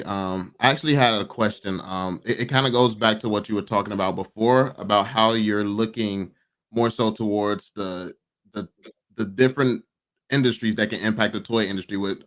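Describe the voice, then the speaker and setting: A man with a fairly low voice asks questions in a casual interview conversation. His phrasing is tentative and halting as he works toward his point. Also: steady tone, fairly bright tone. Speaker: participant asking questions.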